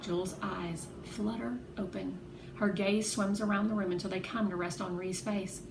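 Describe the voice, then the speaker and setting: A woman reading a children's novel aloud as an expressive, animated narrator. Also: mid-range voice, moderate pace. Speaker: read-aloud host. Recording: echoey room with clear background noise.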